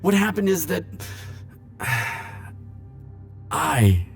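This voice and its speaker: A man playing a defensive, lovelorn immortal in an ASMR roleplay, speaking hesitantly with stammers and halting phrases. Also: a little high, very animated, gravelly, soft background music, heavily acted. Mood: defensive, flustered. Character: flirtatious, defensive male immortal pining for Grim Reaper. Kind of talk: ASMR roleplay monologue to the listener.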